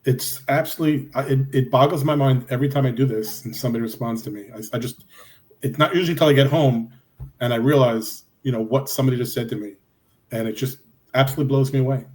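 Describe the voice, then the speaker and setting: A man on a podcast, telling his ghost-hunting stories in an excited, emphatic way. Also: medium pitch, fast talker, poor audio. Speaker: podcast guest, paranormal investigator. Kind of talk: casual chat.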